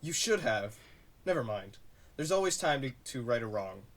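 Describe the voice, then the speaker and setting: Guarded, secretive man with swooping pitch, acted. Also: big pitch swings, moderate pace. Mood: guarded, grave. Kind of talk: audio drama dialogue.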